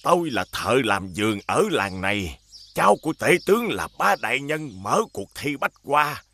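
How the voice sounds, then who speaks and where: A man voicing an elderly, fussy village gardener in fear of his master, in an animated fairy tale. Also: medium pitch, moderate pace, vocal fry, heavily acted. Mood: anxious, flustered. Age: older. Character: elderly village gardener, anxious servant of a tyrant.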